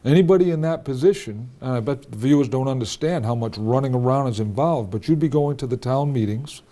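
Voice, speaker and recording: A man hosts a local cable talk show, his sitting on a warm, dark voice. He walks his guest through topics with leading questions and keeps checking that he has the facts right. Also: mid-range voice, a little fast.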